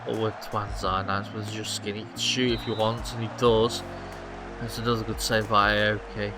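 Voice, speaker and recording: A man's voice: on the low side, flat delivery, moderate pace. Man giving rambling game commentary over music. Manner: rambling play-by-play with fillers and restarts. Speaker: gaming youtuber. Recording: music playing behind.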